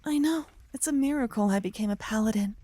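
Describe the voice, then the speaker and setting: A woman playing a silly, adorable young paladin in an ASMR audio roleplay, teasing and theatrical, hushed in places. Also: fairly high pitch, fast, no echo, lightly acted. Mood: teasing, smug. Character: adorable silly young female paladin, bossy, smitten, playful know-it-all.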